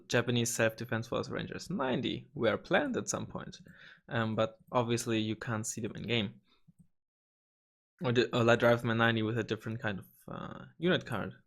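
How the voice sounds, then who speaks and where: A young man with a slightly hoarse voice walks through a game tool in a casual tutorial. He rambles, restarts his sentences and swears now and then, talking into a desk microphone at a mid pitch. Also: fairly bright tone. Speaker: gaming channel host. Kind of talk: casual screen-recorded tutorial.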